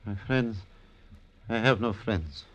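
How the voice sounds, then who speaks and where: An actor playing an anguished, unstable male ballet impresario, theatrical and halting, in an old-time radio drama. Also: fairly low pitch, lively intonation, fairly bright tone. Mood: weary, sorrowful. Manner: theatrical, halting, repetitive, formal phrasing.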